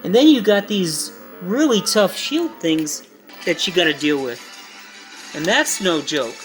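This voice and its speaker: A man with a high voice who gives a comedic retro game review in an excitable, emphatic, jokey way. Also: a little slow, over music.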